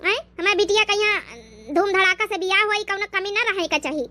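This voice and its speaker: A woman's voice: very high-pitched, expressive, brisk, bright, forceful tone, heavily acted. Woman doing a piercing Awadhi mother-in-law. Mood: proud, insistent. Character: proud, demanding village mother-in-law, middle-aged or older. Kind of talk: cartoon comedy dialogue.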